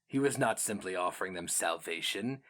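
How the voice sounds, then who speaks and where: A man narrating a fantasy story as a dramatic reading, grave, measured and theatrical. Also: low voice, lively intonation, fairly bright tone. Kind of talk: dramatic scripted fiction narration.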